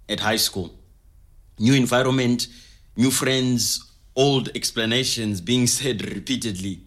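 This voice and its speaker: Guy playing a middle aged zimbabwean man, zimbabwean accent, medium pitch, earnest n reflective, telling his story in an audio drama interview. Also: natural voice.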